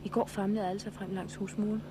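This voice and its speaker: An actress playing a concerned, independent young woman in a 1960s radio drama, direct, questioning and soft-spoken. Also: mid-range voice, little pitch movement, background noise, lightly acted. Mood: practical, curious. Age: 20s.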